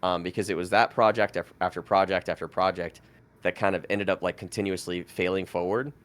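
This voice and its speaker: A man's voice: low voice, steady tone, fairly quick. A man in his thirties, born in France with French as his first language, telling his story as a podcast guest in a rambling way full of fillers and restarts. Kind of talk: casual storytelling interview.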